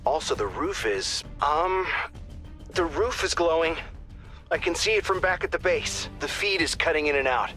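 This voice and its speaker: A man's voice: on the high side, animated, moderate pace, pushed and bright. A man playing an informal, earnest intel officer who gives squad updates in a video game, in a conversational way. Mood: urgent. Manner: conversational, alert. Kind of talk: scripted video game radio briefing. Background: faint music.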